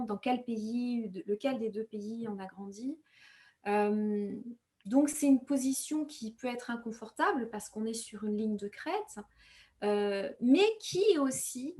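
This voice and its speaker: A woman from Rwanda, raised speaking Kinyarwanda, giving thoughtful interview answers as an author, measured and pausing often to find her words. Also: a little high, darkish tone. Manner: thoughtful, measured, with frequent hesitations and self-corrections.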